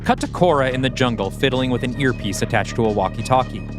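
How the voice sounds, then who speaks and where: A man's voice: mid-range voice, quick pace, over music. A man hosting a podcast, reading scripted scene directions as the narrator of an audio play.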